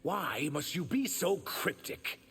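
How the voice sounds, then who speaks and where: A man's voice: fairly high pitch, big pitch swings, slow, gravelly, fairly bright tone, a little hiss or hum, heavily acted. A man voicing an earnest young shapeshifting reptilian warrior in human form for a video game. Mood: challenging. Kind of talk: video game character dialogue.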